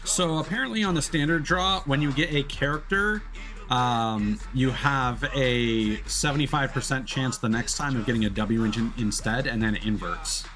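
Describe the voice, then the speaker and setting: A man's voice: mid-range voice, normal speed, pushed and bright. A man giving casual live gameplay commentary as a streamer, musing to himself and talking to the game. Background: game music.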